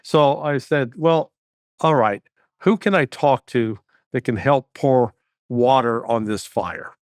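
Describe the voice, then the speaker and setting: A man's voice: medium pitch, slow, no room sound, clean studio sound. An older man hosting an interview show, talking casually with rambling, stumbling restarts. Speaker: show host.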